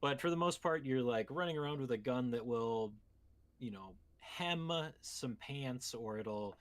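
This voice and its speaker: A man co-hosting a podcast, chatting casually about video games and explaining things in a meandering, conversational way. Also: mid-range voice. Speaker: podcast co-host. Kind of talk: casual video game chat.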